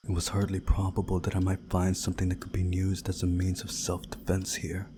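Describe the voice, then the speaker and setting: Deep voiced guy narrating a visual novel, measured storytelling, noticeable noise behind him. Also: monotone. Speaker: video game playthrough narrator.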